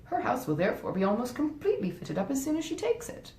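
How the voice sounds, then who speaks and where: A grasping, genteel wife from a storybook classic, voiced by a woman reader, pressing her case with smug insistence. Her pitch swoops freely while the tone stays soft-edged and mellow. The room adds audible echo. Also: wildly swooping pitch, fast talker, heavily acted. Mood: resentful. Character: grasping, self-justifying married gentlewoman, coolly persuasive. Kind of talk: dramatized audiobook reading of a classic novel. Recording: roomy echo, faint rumbling noise.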